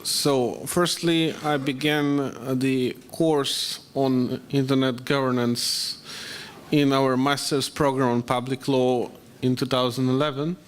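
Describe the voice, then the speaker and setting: A man's voice: medium pitch, steady tone, takes it slowly, clean tone. A Russian man, a university lecturer, speaking up from the audience at a conference, hesitant with many fillers.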